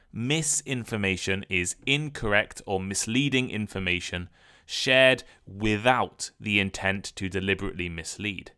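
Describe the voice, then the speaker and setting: Guy reading out a vocab lesson, lowish voice but bright and crisp, dead dry sound. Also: no echo. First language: English. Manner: clear, measured delivery that sounds read from a script. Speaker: podcast host and English teacher. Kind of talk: scripted educational narration.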